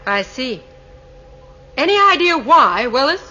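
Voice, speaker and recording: A woman's voice: high-pitched, sing-song, slow pace, bright, forceful tone, muffled recording, full character voice. An actress playing a commanding, businesslike female starship captain in a radio drama. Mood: questioning. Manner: authoritative, businesslike.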